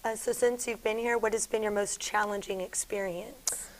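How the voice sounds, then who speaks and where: A woman's voice: medium pitch, steady tone, moderate pace, low-quality recording. A young woman, a student interviewer, asking polite questions with short affirmations.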